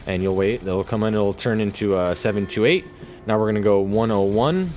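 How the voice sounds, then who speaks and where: A man's voice: on the low side, brisk, darkish tone, faint music behind. A man giving casual, laid-back and sometimes sweary commentary over his own video game play. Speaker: gamer narrating his own gameplay video.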